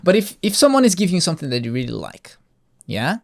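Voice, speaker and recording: Man, very lively intonation, patient Bible teacher, coaxing questions for a young listener. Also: high-pitched, fast, gravelly. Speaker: host of a Bible reading show. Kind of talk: reading and explaining scripture to a child.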